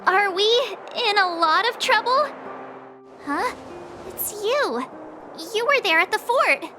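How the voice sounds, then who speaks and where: A woman's voice: very high-pitched, animated, slow pace, sharp tone, big-room sound, soft background music, full character voice. An actress playing a bubbly, cheeky teenage adventurer girl in a video game, with a breezy, slangy, dramatic delivery. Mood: uneasy. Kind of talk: English video game voice acting.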